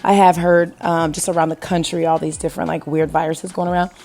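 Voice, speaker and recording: A woman in her thirties with a hoarse, gravelly voice from being sick, chatting casually over her home decorating video and asking viewers what they think. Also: fairly low pitch, little pitch movement, a little fast, darkish tone. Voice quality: gravelly, husky. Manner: chatty, rambling, asks viewers questions. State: hoarse from illness.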